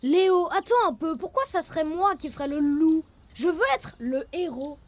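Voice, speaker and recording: A woman's voice: extremely high pitch, normal speed. A woman voicing a boastful, stubborn young male tiger cub in a children's cartoon, boisterous and challenging. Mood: stubborn, indignant. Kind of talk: animated children's cartoon acting. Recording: music behind the voice.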